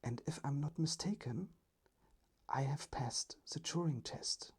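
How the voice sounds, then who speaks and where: A storyteller voicing a polite, playful little robot in whispered ASMR, with formal, measured phrasing. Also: medium pitch, darkish tone. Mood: proud. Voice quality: breathy, whispery.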